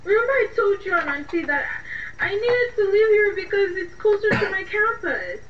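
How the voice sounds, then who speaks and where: Radio drama: anxious young Nigerian student, stammering and pleading, heard a bit far off in a dull recording. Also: female voice, very high-pitched, big pitch swings, normal speed. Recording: dull, echoey, distant, slightly noisy.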